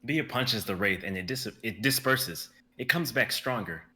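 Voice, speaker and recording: Bright, brisk young male narrator. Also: medium pitch, expressive. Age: young adult. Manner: brisk, vivid scene narration with occasional stumbles. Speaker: narrator reading stage directions. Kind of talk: live dramatic reading of a script.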